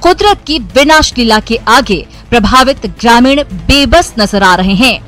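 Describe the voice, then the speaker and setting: A woman reading a scripted news voice-over in a measured, formal way. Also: on the high side, animated, fairly bright tone, faint music behind. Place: studio voice-over.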